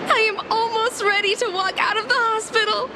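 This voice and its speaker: A woman's voice: extremely high pitch, steady tone, moderate pace, a loud crowd, very noisy. A woman voicing a young hospital patient who is eerily chipper in a video game.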